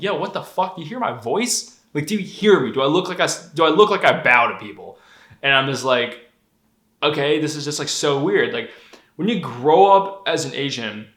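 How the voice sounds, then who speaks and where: A young man who grew up in Colorado, talking candidly and with plenty of profanity in a casual vlog monologue. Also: medium pitch. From Denver, Colorado. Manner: candid, profane, animated storytelling. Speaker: vlogger. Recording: echoey room.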